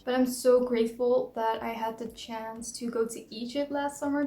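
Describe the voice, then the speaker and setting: A young woman from the Netherlands talks to her viewers in an earnest, reflective vlog, reading out each question before she answers it. Her voice sits a little high, and the room gives back a noticeable echo. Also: a little mellow. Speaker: vlogger. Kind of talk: casual tag video, answering questions to camera. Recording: echoey room.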